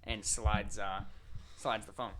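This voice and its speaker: A man's voice: fairly low pitch, level tone, slow pace, a bit bright. A man co-hosting a podcast, explaining things in casual, informal conversation. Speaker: podcast co-host. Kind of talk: casual tech chat.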